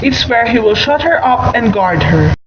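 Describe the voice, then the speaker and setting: A woman's voice: on the high side, sing-song, moderate pace, loud music behind the voice. A woman reading scripted storytelling narration for a radio play. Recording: echoey, muffled, very noisy recording.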